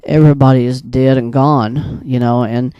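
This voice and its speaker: Mellow-voiced man rambling through a story. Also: medium pitch, fairly even intonation, smooth, no echo, dull audio. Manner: rambling, hesitant, halting mid-thought. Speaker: video creator and storyteller. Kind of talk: casual unscripted monologue recounting a dream.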